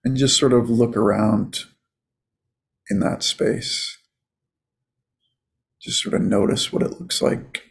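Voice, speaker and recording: A man leading a guided meditation as a coach, in a soothing, casual, unhurried manner. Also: on the low side, steady tone, creaky, slightly rough.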